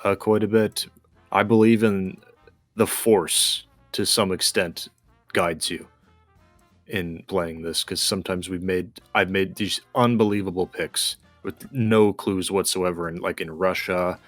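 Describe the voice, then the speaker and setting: A man's voice: low voice, fairly even intonation, measured pace, a bit bright, no echo, soft background music. A man chatting casually while playing a game, musing and explaining his thinking as he goes. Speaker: gamer co-hosting a gameplay video.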